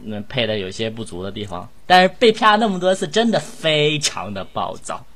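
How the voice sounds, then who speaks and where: Man, medium pitch, casual talk, blunt, animated, exclamatory. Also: normal speed. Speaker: voice actor in an audio drama. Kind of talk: casual chat.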